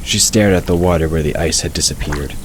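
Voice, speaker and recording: A man narrating a story in a measured, even way. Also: extremely low pitch. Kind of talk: scripted audiobook narration. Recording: hissy recording with bird ambience.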